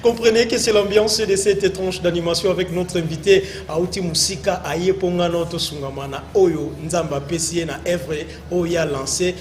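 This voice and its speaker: A man hosts a TV interview with a high-pitched, animated voice, switching freely between languages. Faint noise is heard in the background. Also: moderate pace, hardly any pauses. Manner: animated, mixes French and Lingala. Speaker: TV host. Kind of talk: TV interview hosting.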